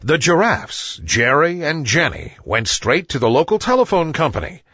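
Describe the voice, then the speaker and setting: A man narrating a scripted children's story as an expressive storyteller. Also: fairly high pitch, moderate pace, dry recording.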